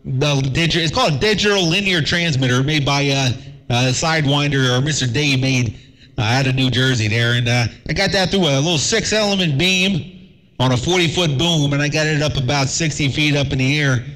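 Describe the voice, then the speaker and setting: A man's voice: fairly high pitch, fairly quick, a bit bright, rough recording. A man chatting casually over amateur radio, laid-back and calling everyone "man".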